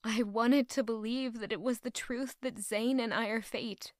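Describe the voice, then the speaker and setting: A woman playing a conflicted, loyal young woman in love in an audio drama, with emotional, deliberate delivery. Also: a little high, fairly even intonation, dry recording, studio quality, close to an everyday voice. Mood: pensive, troubled. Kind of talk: dramatic audio drama acting with first-person narration.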